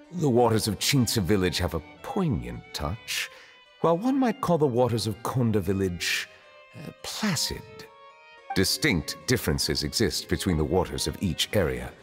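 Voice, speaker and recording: An actor playing a reserved, dignified dragon in human form who serves as a chief justice in a video game, speaking formally and pensively. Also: male voice, on the low side. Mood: earnest. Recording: long reverb, faint music behind.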